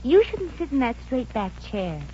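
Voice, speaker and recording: A woman's voice: on the high side, sing-song, normal speed, dark tone. An American woman playing a chatty, meddling young chambermaid in a 1950s radio comedy, with a breezy, slangy, pestering manner. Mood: chatty, persistent. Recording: noisy, muffled old radio recording.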